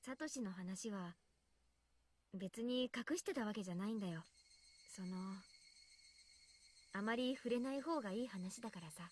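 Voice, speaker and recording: Woman playing a guarded teenage girl, quick speech. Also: medium pitch, expressive, a little hiss or hum, natural voice. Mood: hesitant, somber. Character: teenage village girl, guarded, hesitant, serious friend. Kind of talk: Japanese anime visual novel acting.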